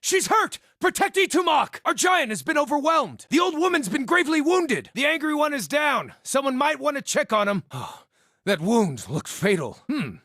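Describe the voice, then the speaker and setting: A man voicing an irreverent, excitable, furry shapeshifting druid in a video game, with a wry, cheeky, theatrical delivery. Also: extremely high pitch, moderate pace, no room sound, studio quality, exaggerated voice. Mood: urgent, combative. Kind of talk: video game character dialogue and battle barks.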